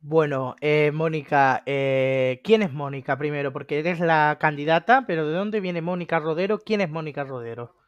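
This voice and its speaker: A man interviewing a guest in a casual way, filling his pauses with "eh". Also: on the high side, clear, resonant tone, no echo, highs cut off. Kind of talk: interview questions.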